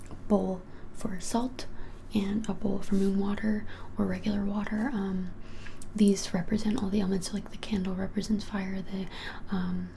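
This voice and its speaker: Girl in her 20s doing whispery asmr shop roleplay, breathy n halting, barely any pitch movement, bit of hiss. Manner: soft, hesitant, halting, helpful explaining. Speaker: ASMR creator playing a witch shop keeper. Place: small plain indoor room.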